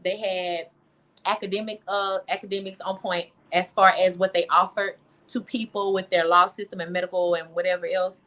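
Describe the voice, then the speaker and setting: A woman's voice: fairly low pitch, level tone, moderate pace, a bit bright. A young woman from Louisiana with a Southern American accent, chatting informally and emphatically as an interview guest. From Louisiana, United States. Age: young adult. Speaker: interview guest, singer and songwriter. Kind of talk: casual interview chat.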